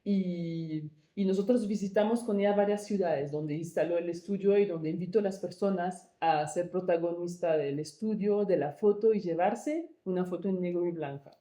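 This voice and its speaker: A woman from Congo speaks in a lowish, mellow voice, weighing her words and pausing with soft fillers as she answers. The room is roomy and echoey around her. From Democratic Republic of the Congo. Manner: thoughtful, searching for words with pauses and fillers. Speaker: arts project co-founder and director. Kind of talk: conversational interview answers.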